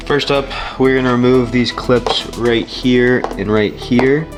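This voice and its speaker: A man's voice: medium pitch, fairly even intonation, a little slow, music in the background. A man hosting a DIY car tutorial, giving plain, informal step-by-step instructions in a casual how-to style.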